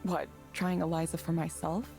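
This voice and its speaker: A troubled woman engineer in a visual novel game. She sounds introspective and downcast, with a fairly low voice. Soft music runs underneath. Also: flat delivery, normal speed, creaky. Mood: reflective.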